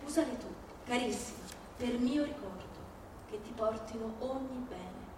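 A woman's voice: fairly high pitch, moderate pace, natural voice. Lady acting out a wistful young woman saying goodbye by letter, stage monologue, echoey and distant. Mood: tender farewell. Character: tender young woman writing a farewell letter. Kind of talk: theatrical monologue in a reading-concert. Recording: very echoey, distant, noisy live recording.

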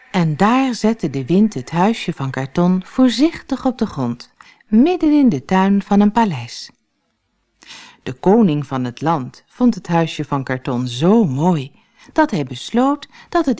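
Slow, expressive woman storyteller. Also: lowish voice. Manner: storytelling, deliberate. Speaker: audiobook narrator. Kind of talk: expressive fairy-tale narration for children.

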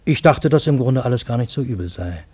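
An actor narrating a radio drama as a troubled Parisian man, with a husky voice and measured, literary, dramatic delivery. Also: medium pitch, animated, dark tone, dry recording. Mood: calm, resigned. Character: troubled Parisian man recounting his fantastic time-loop adventure.

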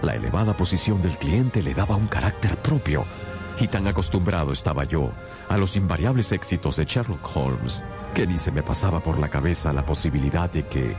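An actor playing a loyal gentleman who narrates his admired friend's exploits in a radio drama, in a measured storytelling manner. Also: rumbling low, expressive. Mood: earnest, absorbed. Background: music underneath.

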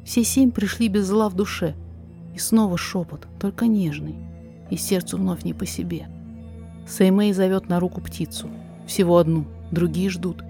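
A woman narrator reading a poetic story aloud in expressive, measured, dramatic verse. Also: lowish voice.

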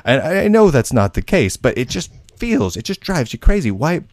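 A man's voice: medium pitch, very animated, normal speed, dry recording, studio quality. A man hosting a casual roundtable, asking questions animatedly and repeating words as he goes. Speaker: podcast host.

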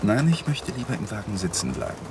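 An actor playing a guilt-ridden, stubborn young lawyer in a radio crime drama, speaking earnestly. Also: male voice, on the low side, wildly swooping pitch, brisk, background noise.

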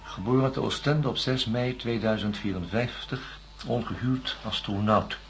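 An actor playing a doctor in a radio drama, questioning a patient in a measured, coaxing, methodical way. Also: male voice, mid-range voice, creaky, slight background noise, natural voice. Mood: measured, probing. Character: probing, reassuring male doctor interviewing a patient. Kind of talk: science-fiction radio drama acting.

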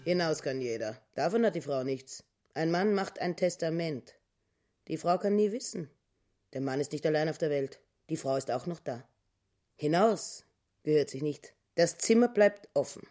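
Nagging, low-voiced grasping wife. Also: female voice, animated, normal speed, dark tone. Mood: stubborn. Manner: nagging, sententious, repeats stock phrases. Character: grasping, stubborn, self-pitying wife in her fifties. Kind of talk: German radio play acting.

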